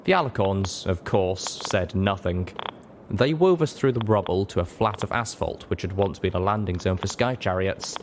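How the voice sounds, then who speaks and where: A man's voice: sits low, moderate pace, background noise. A man narrating a story in a dramatic audiobook style, as its reader.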